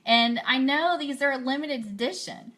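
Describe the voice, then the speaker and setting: A woman's voice: sits high, normal speed, a bit bright. A woman hosting a radio show livestream in a casual, chatty, informal way.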